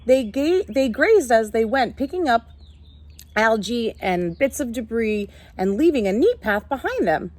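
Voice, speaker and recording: A woman's voice: a little high, very animated, a little slow, a little mellow, no room sound. A woman teacher reading a picture book aloud to young children, instructing and explaining simply as she goes. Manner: instructive, addresses young listeners with simple questions.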